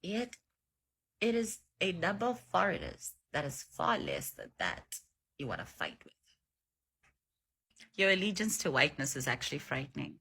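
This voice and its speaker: A woman from South Africa talks as an online commentator. Her voice is low, bright and forceful, with a rough husky edge. She delivers her opinions emphatically and with indignation. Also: huge pitch swings, moderate pace, pauses often. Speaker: reaction video creator. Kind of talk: opinionated social commentary to camera.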